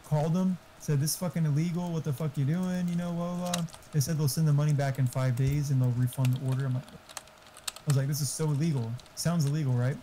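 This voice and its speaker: A man's voice: fairly high pitch, steady tone, a little fast, mellow. A man chatting casually over an online game, talkative and profane, explaining tech. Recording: faint background noise.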